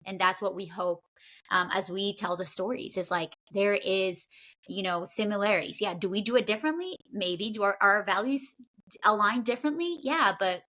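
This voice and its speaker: A woman from Honduras hosting a podcast, talking in a casual way with hesitant pauses and fillers. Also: mid-range voice. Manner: warm, hesitant, many fillers. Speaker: podcast host.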